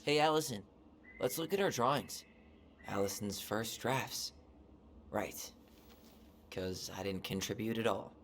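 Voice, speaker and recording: A man playing an earnest, gently teasing young adult in a video game, with a casual, dry delivery. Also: lowish voice, a little slow, pauses often, close to an everyday voice. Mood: nostalgic, teasing. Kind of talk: game cutscene voice acting. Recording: faint background noise.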